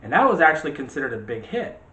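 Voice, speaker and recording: A man's voice: fairly high pitch, very animated, fast, pushed and bright. A man hosting a casual movie discussion, rambling along and correcting himself as he goes. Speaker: host of a film discussion show. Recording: roomy echo with faint background noise.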